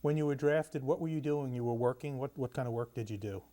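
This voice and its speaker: A man conducts an oral history interview with a veteran. His voice is mellow and warm, at an ordinary pitch, and he asks friendly questions with short, encouraging replies. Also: quick pace.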